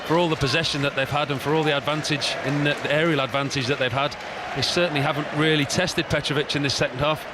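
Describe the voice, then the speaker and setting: A man's voice: fairly high pitch, fairly even intonation, fast talker, hardly any pauses. A man giving thoughtful co-commentary on a football match, talking through tactics. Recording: stadium crowd and noise behind.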